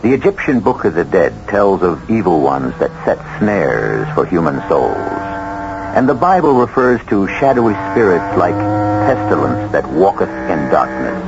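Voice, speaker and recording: Man, radio mystery host, lowish pitch, ominous dramatic narration, deliberate, noisy old broadcast. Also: highs cut off.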